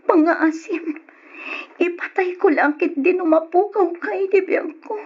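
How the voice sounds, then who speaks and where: A woman's voice: extremely high pitch, fairly even intonation, a little slow. A woman playing a lovesick, possessive young woman in an old-style Ilocano radio drama, pleading melodramatically with an Ilocano accent. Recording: muffled, noisy radio drama recording.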